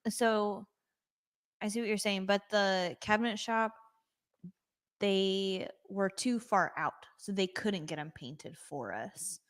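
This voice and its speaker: A woman in a casual talk who chimes in to set the record straight. Her voice sits at an ordinary middle pitch for a woman, and her delivery is plain and conversational. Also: slow. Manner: interjecting, correcting the other speaker. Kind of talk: casual chat, chiming in.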